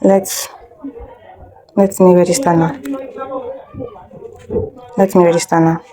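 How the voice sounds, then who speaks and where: A woman giving a casual online editing tutorial, thinking aloud and muttering haltingly as she works. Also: on the low side, flat delivery, warm tone. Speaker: instructor of an online video editing class.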